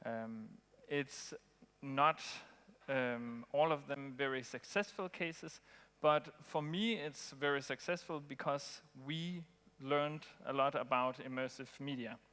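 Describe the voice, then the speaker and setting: A man from Denmark, giving a relaxed conference talk with frequent ums and pauses. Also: medium pitch. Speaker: conference speaker. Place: conference hall. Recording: long hall reverb.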